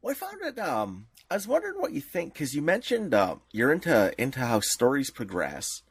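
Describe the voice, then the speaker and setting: Man, raspy voice, very varied pitch, podcast co-host, thoughtful, casual. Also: huge pitch swings, moderate pace, no echo. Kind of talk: casual interview questioning.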